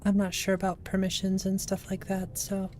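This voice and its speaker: Brief: woman streamer, casual wry gameplay chatter, soft mellow tone, flat delivery. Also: medium pitch, normal speed, a little hiss or hum. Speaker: game streamer. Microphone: XLR microphone.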